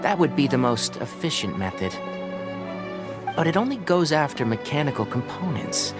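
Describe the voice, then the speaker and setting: An actor playing a young, aloof forest watcher in a video game, speaking precisely and analytically. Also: male voice, fairly high pitch, big pitch swings, moderate pace, music in the background. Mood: dry, analytical. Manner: precise, analytical, matter-of-fact. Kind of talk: video game character dialogue.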